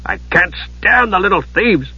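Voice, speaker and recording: A man voicing a gruff, growling, menacing thief boss who gives orders in an ominous way, for a fantasy audiobook. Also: high-pitched, lively intonation, normal speed, sharp tone, no room sound, muffled recording, pitched above the performer's own voice, full character voice. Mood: scornful. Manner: commanding, ominous.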